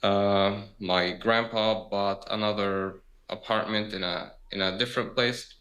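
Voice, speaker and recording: Guest in his twenties from Gaza: deliberate and unhurried, bright voice, on a video call. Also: fairly low pitch, steady tone. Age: 20s. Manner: slow, hesitant, with frequent fillers. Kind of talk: conversational interview. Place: internet cafe.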